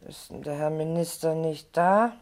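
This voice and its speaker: Slow, gravelly, scornful madame played by an actor. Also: medium pitch, a little mellow, lightly acted. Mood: bored, scornful. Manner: languid, disdainful. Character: jaded, irritable lady of the house, scornful. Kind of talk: radio drama acting.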